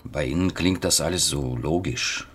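An actor playing an unsettled, hesitant male therapy patient in a radio play, with a breathy, husky voice. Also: a bass voice, a bit bright, exaggerated voice. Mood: unsettled, doubtful. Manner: hesitant, halting.

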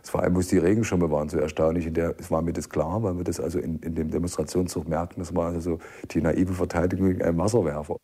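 Very deep, husky man recounting memories. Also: little pitch movement, quick pace, few pauses, mellow. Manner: colloquial, anecdotal. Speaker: eyewitness interviewee. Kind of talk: spontaneous spoken recollection.

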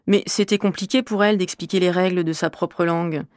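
A woman from Normandy, a French teacher, answering interview questions in a measured, clear, explanatory way. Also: on the low side, fairly even intonation, a little mellow, no room sound, studio quality. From Normandy, France.

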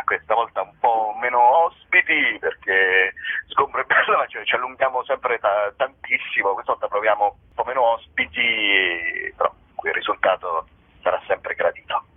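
A man's slightly low voice comes through a narrow, phone-like radio line. He talks off the cuff as an interview guest, rambling a little, hesitating and correcting himself. His delivery is informal and jokey. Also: no room sound. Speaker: comedy performer and show presenter, interview guest. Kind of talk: spontaneous interview chat.

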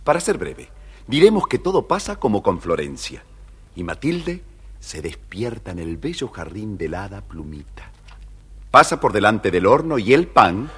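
A man's voice: on the low side, big pitch swings, unhurried, a bit bright, slight background noise. A man narrating a scripted children's story as the storyteller. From Argentina.

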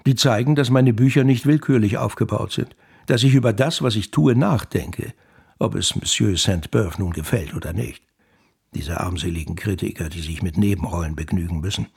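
Gravelly, raspy, proud novelist. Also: male voice, fairly low pitch, darkish tone, exaggerated voice. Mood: self-satisfied. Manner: self-satisfied, biting, musing. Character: proud, caustic male novelist brooding over his critics. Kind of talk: radio play monologue.

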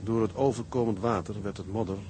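An actor playing a tender young seaman, narrating his letters home in a measured, intimate radio drama style. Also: on the low side, steady tone, background noise, close to an everyday voice. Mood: tender, reflective.

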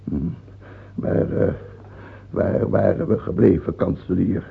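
An actor playing a dying, cruel king in his sixties in a radio play, speaking haltingly in gasps with sudden outbursts. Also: low voice, exaggerated voice. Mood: defiant, peevish. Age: 60s. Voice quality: husky, creaky, gasping. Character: dying sixty-year-old tyrant king, bitter, cruel, raving. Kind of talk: theatrical radio drama acting. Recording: old lo-fi radio recording, phone-like.

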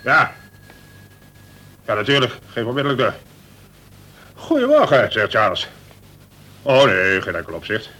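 A man's voice: medium pitch, wildly swooping pitch, measured pace, long pauses, sharp tone, slight background noise, full character voice. A man acting a formal, courteous colonel who runs a prison, in a Dutch radio play. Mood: courteous, brisk. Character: polite, brisk male colonel running a prison. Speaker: actor.

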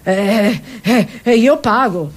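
A woman's voice: a little high, slow pace. A woman playing an indignant old pensioner grandmother in a comic monologue, rambling, stammering and repeating herself as she reminisces.

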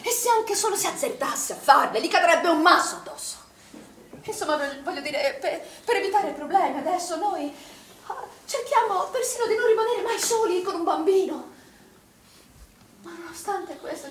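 An actress playing an anguished, doubt-ridden middle-aged woman in charge, speaking in an agitated, halting stage-drama style and repeating her phrases. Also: extremely high pitch, animated. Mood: troubled, pleading. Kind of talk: stage drama acting, emotional monologue. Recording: roomy, distant theatre recording, faint noise.

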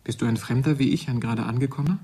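A man's voice: mid-range voice, racing, dark tone, husky, close to an everyday voice. An actor playing a troubled, bewildered grown man who searches ponderously for his formal words in a German radio drama. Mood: tender, puzzled.

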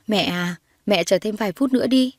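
A woman voicing a gentle young man, a protective brother and dutiful son who soothes and placates, in a dramatized audio story. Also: mid-range voice, a little fast, no echo, studio quality, natural voice. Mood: placating.

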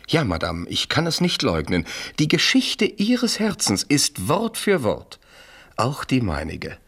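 An actor in a German radio play as a suave, self-satisfied French marquis, courtly and flowery in his flattery. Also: mid-range voice, unhurried, gravelly, breathy edge, exaggerated voice. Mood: contrite, relieved. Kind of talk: German radio play acting, period drama.

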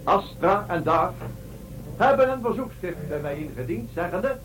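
A starship commander played by a man addresses the travellers in a high voice. The delivery is formal and declamatory, solemn science-fiction radio drama with faint music. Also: big pitch swings, measured pace, low-quality recording. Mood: solemn, resolute.

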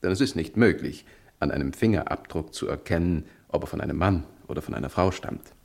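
An actor playing a smug male criminal in a 1960 radio crime drama, condescending, amused and deliberate. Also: very deep voice, creaky, a little mellow, exaggerated voice. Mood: amused, superior. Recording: vintage 1960 radio recording.

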